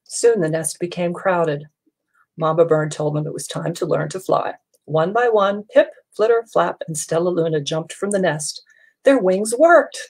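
Low-pitched woman reading a storybook. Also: moderate pace, darkish tone. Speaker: storybook reader. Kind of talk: expressive children's read-aloud. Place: small furnished room at home.

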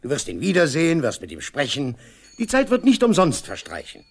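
A man's voice: a little high, huge pitch swings, fairly quick, slightly rough, exaggerated voice. An actor playing a bossy, self-important man who takes charge, officious and persuasive, in a 1970s East German radio play. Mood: exasperated, placating.

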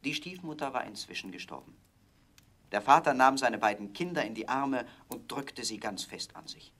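A man narrating a scripted fairy tale for children in a measured, dramatic storytelling manner. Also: mid-range voice, expressive, a bit bright.

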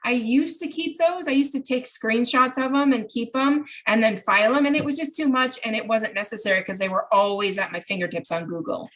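A woman's voice: fairly high pitch, fairly quick, hardly any pauses, a bit bright. A middle-aged woman presenting an informal online workshop from home, explaining things step by step with examples. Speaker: presenter answering participants' questions. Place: home craft room, indoors. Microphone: computer mic on a video call.

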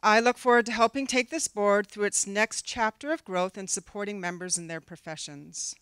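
A middle-aged woman with a Canadian accent reads her prepared campaign speech at a podium. Her voice is smooth and clear, held close to a handheld mic with a dry sound and little room echo. She stays earnest and steady, with a few small fillers. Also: medium pitch, normal speed. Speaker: candidate for a board seat. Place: conference room, in front of a backdrop.

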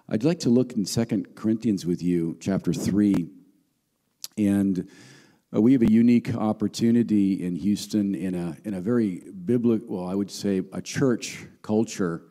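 Deep dark-voiced pastor, bit hoarse, handheld mic, long echo in the church hall. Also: male voice. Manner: warm, earnest greetings and prayer with frequent fillers. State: voice going hoarse. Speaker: visiting pastor. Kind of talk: sermon. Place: large church hall, on stage. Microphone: handheld microphone.

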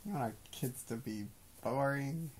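A man's voice: mid-range voice, unhurried, husky. A man in his thirties chatting casually and playfully in a small bedroom, musing as he goes. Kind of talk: casual chat. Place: small bedroom, lying in bed.

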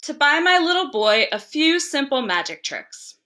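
A woman's voice: sits high, big pitch swings, normal speed, sharp tone, pitched above the performer's own voice, exaggerated voice. A woman voicing a fond, good-humoured father in a storybook reading. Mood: friendly, inquiring. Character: fond, good-humoured father indulging his young son.

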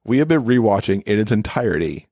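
A man's voice: lowish voice, big pitch swings, fast, warm tone, slightly rough, no echo, clean studio sound. A man talking casually and enthusiastically as a podcast guest. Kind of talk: casual chat.

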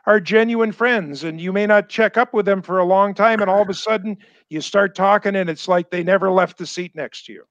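An older man on a video call with a headset, chatting in a halting way full of pauses and restarts. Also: high voice, fairly bright tone, dry recording, lo-fi sound. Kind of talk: casual chat. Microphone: headset mic on a video call.

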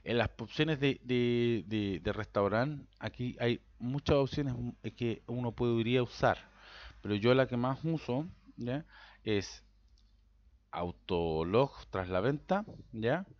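A Chilean man with a Chilean Spanish accent, walking through a software setup in a casual screen-recorded tutorial, rambling and checking in with a constant "¿ya?". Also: medium pitch, takes it slowly, dry recording. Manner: rambling, repeats words, tags "¿ya?" constantly. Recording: dull lo-fi sound.

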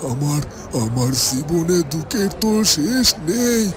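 A man voicing a sorrowful, poor widowed father in a cartoon, lamenting haltingly. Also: on the high side, very animated. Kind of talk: cartoon voice acting. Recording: music behind the voice.

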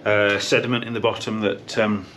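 A man's voice: lowish voice, level tone, normal speed, noisy background. A British man giving a casual, matter-of-fact running commentary as a pub landlord in a behind-the-scenes vlog. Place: inside a pub and its cellar.

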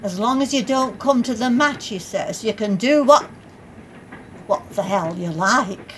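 An actress playing a chatty middle-aged wife gossiping in a radio play. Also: female voice, fairly high pitch, lively intonation, unhurried, slight background noise. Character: chatty gossiping football supporter's wife out shopping. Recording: train ambience behind.

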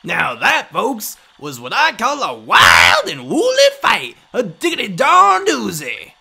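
A man voicing a brash, loud male fight announcer in a game fan dub, calling out fighters with big hype. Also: huge pitch swings, takes it slowly, vocal fry, breathy edge, exaggerated voice. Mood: hyping. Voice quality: booming hype.

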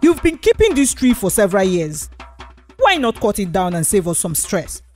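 Fed-up village neighbour male role, played by a woman. Insistent, exasperated, swinging pitch, storybook style, light music underneath. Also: very animated, moderate pace, darkish tone, close to an everyday voice.

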